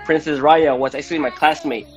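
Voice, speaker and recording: Halting man interviewer, faint music. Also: fairly high pitch, fairly even intonation, highs cut off. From Malaysia. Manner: halting, many restarts and fillers. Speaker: host. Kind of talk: casual interview chat.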